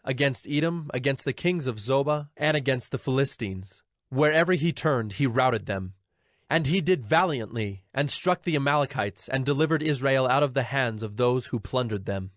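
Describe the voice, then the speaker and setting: A man's voice: medium pitch, no room sound, clean studio sound. A man narrating a dramatized audio Bible in a formal storytelling style.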